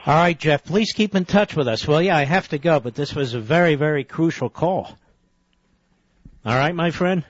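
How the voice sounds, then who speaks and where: A male talk radio host takes a call and presses the caller with pointed questions, often cutting in. His voice sits fairly high. The sound is a little dull, with the top end rolled off. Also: animated, dry recording. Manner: probing, interrupting with quick questions.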